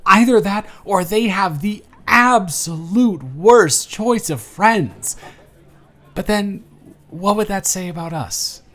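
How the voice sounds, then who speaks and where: A man's voice: sits high, expressive, slow pace, a bit bright, voice pushed up, heavily acted. A man playing a charming, teasing young man on a blind date, chatty and playful in a romantic audio roleplay. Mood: playful, bantering.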